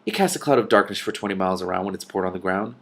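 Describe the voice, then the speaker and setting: A man's voice: sits low, lively intonation, very fast, vocal fry, exaggerated voice. A man voicing a matter-of-fact female dragon from a storybook, explaining things with dry, scornful authority.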